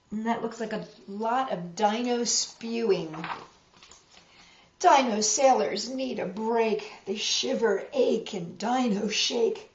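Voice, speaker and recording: A woman reading a picture book aloud to children in a measured, expressive way, with emphasized rhymes and friendly asides. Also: a little high. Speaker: storytime host reading picture books. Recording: roomy echo, slightly dull sound.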